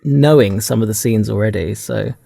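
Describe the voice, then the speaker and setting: A man's voice: on the low side, normal speed, gravelly, mellow, no echo, clean studio sound. A man co-hosting a podcast, talking casually about films in a hesitant, tentative way. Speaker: podcast co-host. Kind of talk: casual film discussion.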